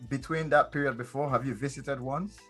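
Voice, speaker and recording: A man's voice: mid-range voice, steady tone, brisk, a bit bright, breathy edge, soft background music. A man hosting a podcast interview, chiming in with brief affirming interjections. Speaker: podcast host.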